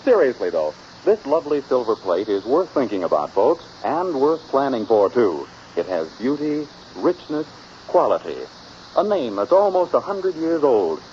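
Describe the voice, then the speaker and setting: A man announcing in a polished, scripted 1940s radio style, with deliberate salesmanship. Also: mid-range voice, very animated, a little mellow. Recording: dull old radio recording, faint noise.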